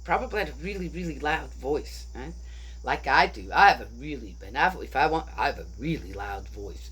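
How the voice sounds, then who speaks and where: A woman's voice: very deep voice, lively intonation, normal speed, a bit bright, breathy edge. A woman talking casually through a bible story, thinking aloud and often asking her listeners "right?". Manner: chatty, rhetorical, keeps asking "right?". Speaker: bible study speaker.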